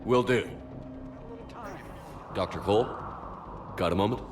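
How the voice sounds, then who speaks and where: A man voicing a deep-voiced blunt commander. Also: measured pace, background noise, close to an everyday voice. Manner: terse, direct. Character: blunt male starship commander. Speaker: military commander. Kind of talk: video game voice acting.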